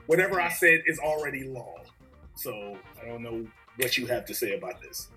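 A man's voice: mid-range voice, lively intonation, moderate pace, pushed and bright, soft background music. A young man chatting as co-host, outspoken and slangy. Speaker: podcast co-host. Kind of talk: casual chat.

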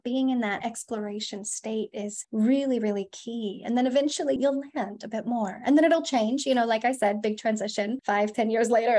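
A woman in her thirties speaking as a podcast guest, in a soft, flowing way with many fillers and drawn-out words. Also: fairly high pitch. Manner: soft, emphatic, lots of "like" and "you know", drawn-out words. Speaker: podcast guest, feminine embodiment coach. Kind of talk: flowing spiritual podcast talk.

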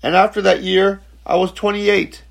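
A man in his forties giving a slow, reflective spiritual teaching talk, halting and repeating words, often checking in with "okay?". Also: high-pitched, steady tone, gravelly. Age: 40s. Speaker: spiritual speaker.

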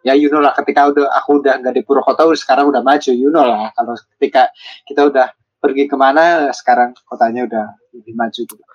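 A young man from Banyumas in Central Java with a ngapak Javanese accent, co-hosting a podcast in a relaxed, rambling way with many fillers. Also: mid-range voice, fast talker, dry recording, lo-fi sound. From Banyumas, Central Java, Indonesia. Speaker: podcast co-host. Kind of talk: casual podcast chat.

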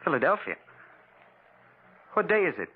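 Confused accident patient, American, high-pitched, anguished, halting, 1940s radio drama, thin muffled sound. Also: male voice, sing-song, husky. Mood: confused, anxious.

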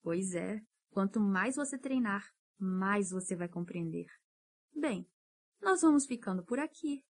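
A woman's voice: medium pitch, expressive. Encouraging woman teaching English. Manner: patient, encouraging, drills words slowly. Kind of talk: podcast pronunciation lesson.

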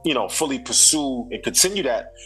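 A man from Brooklyn with a New York accent, in his thirties, speaking at an ordinary middle pitch. He talks in a relaxed, reflective way, heavy with slang and little fillers. Faint music plays in the background. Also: fairly quick, poor audio. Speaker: rapper and singer, guest. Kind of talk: casual interview chat.

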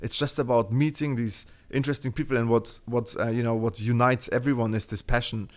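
A man's voice: mid-range voice, steady tone, a little fast. A man from Germany with a German accent, speaking as a podcast guest in a casual interview, rambling with many fillers and restarts.

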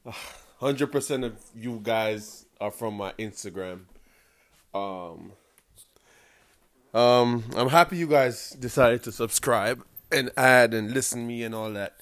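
A man's voice: medium pitch, slow pace, fairly bright tone, no echo. A young man from Jamaica with a Jamaican accent, hosting a casual solo podcast with rambling, profane talk full of "um"s. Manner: rambling, profane, laughs often, many "um"s. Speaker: podcast host.

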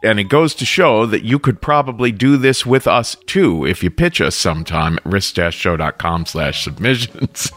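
Male podcast host with a lively delivery. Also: lowish voice, normal speed. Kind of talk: show introduction.

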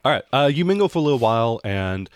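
A man's voice: mid-range voice, very animated, fast, dry recording, studio quality. A man narrating a casual, improvised tabletop roleplaying game as game master.

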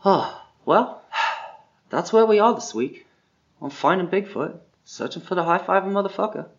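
Man playing high-pitched, exasperated, boastful actor. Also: animated, unhurried, dull audio. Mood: irritated. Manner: whiny, incredulous complaining with swearing and repetition. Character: exasperated, boastful famous actor hunting Bigfoot. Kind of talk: improvised comedy character monologue and banter.

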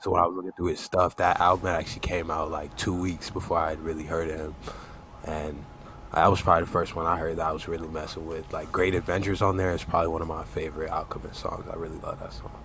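A man's voice: low-pitched, little pitch movement, quick pace, a bit bright, a little hiss or hum. A man co-hosting a casual music podcast, speaking in an easygoing way with hesitant "um"s. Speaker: podcast co-host.

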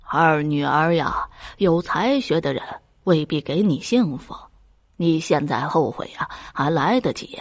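A woman's voice: deep voice, animated, a little slow, heavily acted. A woman voicing a worried, wise old mother in a folk tale, sighing and admonishing. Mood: sighing warning.

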